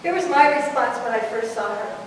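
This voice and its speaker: A woman's voice: a little high, expressive, fairly quick, a little hiss or hum. An older woman giving an earnest, reflective spiritual talk to a congregation. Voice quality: fairly loud voice. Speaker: guest speaker. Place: church hall. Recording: echoey hall from far off.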